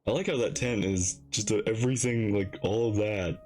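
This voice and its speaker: A man's voice: lowish voice, normal speed, a little mellow. A man giving casual reaction commentary on a game as a streamer, musing aloud in a hesitant, conversational way. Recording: game music behind the voice.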